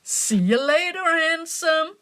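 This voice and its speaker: A woman's voice: very high-pitched, expressive, takes it slowly, fairly bright tone, full character voice. An actress playing a flirtatious, forward woman in an amateur radio play, cooing and suggestive.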